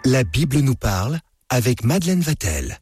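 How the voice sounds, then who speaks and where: A man's voice: medium pitch, sing-song, normal speed. A man reading a scripted radio station identification as an announcer. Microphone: dry studio microphone. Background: music.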